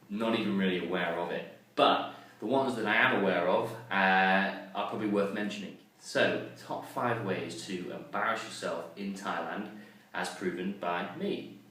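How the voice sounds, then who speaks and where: A man's voice: deep voice, a bit bright. A man vlogging casually to the camera, chatty and self-mocking, with plenty of ums and uhs. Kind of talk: casual vlog talk to camera. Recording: very echoey, distant mic, faint noise.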